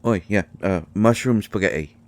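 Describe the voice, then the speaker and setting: A man playing a tiny, gruff but shy and caring potion-brewing healer in an audio drama, with a mottled British accent and a halting, stammering delivery. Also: fairly low pitch, darkish tone, close to an everyday voice. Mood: wistful, awkward. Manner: halting, stammering, mumbling asides. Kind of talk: intimate roleplay audio drama monologue.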